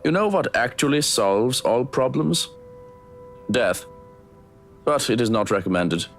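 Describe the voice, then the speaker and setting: An actor playing a composed butler in a visual novel, speaking in a formal, deadpan manner with dry morbidity. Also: male voice, mid-range voice, measured pace, faint music behind.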